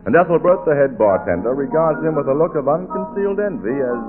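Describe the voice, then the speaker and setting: A man's voice: a little high, lively intonation, fast. A man announcing and narrating a scripted old radio show in a polished, emphatic style. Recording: tinny, band-limited old radio recording with music.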